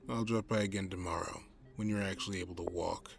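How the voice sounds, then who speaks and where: Man acting a cynical detective, deep voice, gruff, condescending, determined, audio drama delivery. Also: fairly even intonation, fast, soft background music. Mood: gruffly reassuring. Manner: blunt, swearing, condescending.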